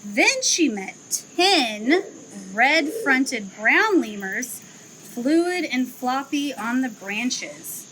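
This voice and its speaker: A young woman who works at a zoo reads a children's picture book aloud outdoors, in an expressive storytelling manner. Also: high-pitched, slight background noise, low-quality recording. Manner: slow, expressive storytelling, livelier chat afterward. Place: outdoor zoo enclosure with trees.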